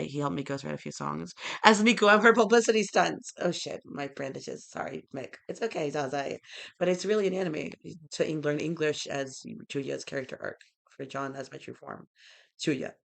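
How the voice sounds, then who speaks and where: A young man talking straight to camera in a disjointed, rambling monologue that breaks into sudden loud outbursts. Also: on the high side, a little fast, vocal fry. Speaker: vlogger.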